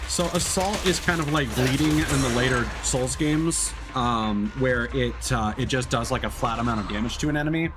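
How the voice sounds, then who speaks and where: A man's voice: mid-range voice, moderate pace, hardly any pauses, background noise. A man giving casual live game commentary, thinking aloud in an analytical, hesitant way. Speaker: streamer playing a game. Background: faint game music.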